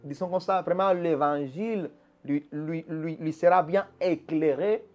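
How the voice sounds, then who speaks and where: A man's voice: on the high side, steady tone, measured pace, a little mellow, lo-fi sound, slight background noise. A man, a pastor, answering interview questions in an earnest, preaching manner, often repeating words as he restarts a phrase. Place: Quebec.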